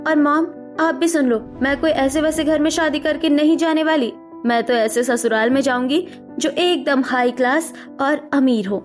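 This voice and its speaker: A woman playing a high-voiced spoiled young rich girl. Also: moderate pace, soft background music, lightly acted. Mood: defiant. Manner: brash, demanding, mixes in English words. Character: spoiled, haughty young rich daughter obsessed with shopping. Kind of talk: animated cartoon story acting.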